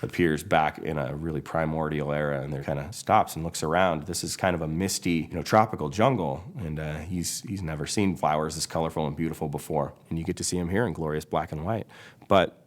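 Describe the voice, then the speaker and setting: A man casually narrating an animated story pitch, acting out bits as he goes. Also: brisk. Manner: acts out character voices and cries while telling the story.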